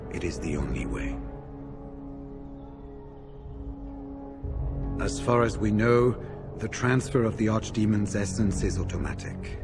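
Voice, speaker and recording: An actor playing a grave, weary elder warrior in a video game, speaking with solemn, formal deliberation. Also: male voice, low voice. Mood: solemn, regretful. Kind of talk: dramatic video game acting.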